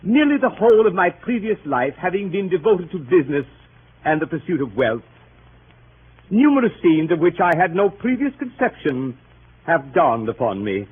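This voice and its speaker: An actor playing a dignified, earnest older gentleman with stately, oratorical delivery in 1930s radio style. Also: fairly high pitch, big pitch swings, moderate pace, narrow, tinny audio. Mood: earnest, heartfelt. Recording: thin noisy 1930s radio broadcast.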